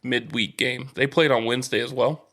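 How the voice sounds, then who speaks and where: A man's voice: medium pitch, fairly even intonation, normal speed, a bit bright. A man hosting a sports podcast in casual banter, explaining things knowledgeably and teasing his co-host.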